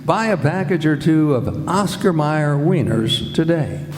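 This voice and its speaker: Man as an animated vintage radio pitchman, echoey. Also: a little slow. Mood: upbeat selling. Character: upbeat old-time radio commercial pitchman. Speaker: commercial announcer. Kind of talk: 1950s radio advertising. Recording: echoey hall, live stage performance.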